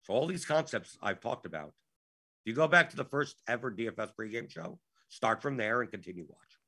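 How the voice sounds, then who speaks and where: A man's voice: medium pitch, lively intonation, fairly quick, gravelly, bright, forceful tone, slightly rough. A man hosting a live Q&A stream, his voice worn out, talking in an exasperated way and repeating himself. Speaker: show host.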